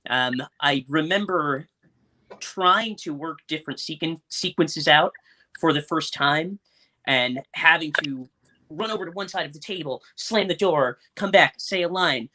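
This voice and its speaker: Young male actor, higher-pitched and bright, in casual interview mode over a video call. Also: unhurried. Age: young adult. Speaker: stage actor and Foley performer. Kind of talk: casual panel interview answers. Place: indoors in a room at home, on a video call. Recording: clicky video call with faint noise.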